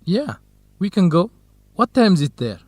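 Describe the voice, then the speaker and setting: Playful easygoing guy, lively higher voice. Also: moderate pace, dry recording. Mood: joking. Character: Helen's joking, easygoing male companion puzzled by America. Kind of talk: English-learning radio drama acting.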